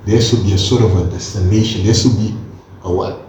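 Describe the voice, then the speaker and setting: A man's voice: on the low side, fast talker, slight background noise. A man of twenty-one talking earnestly in casual chat as a co-host, slipping in slang as he explains his point. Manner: earnest, animated, peppers talk with slang. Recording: echoey room from a distance, dull sound.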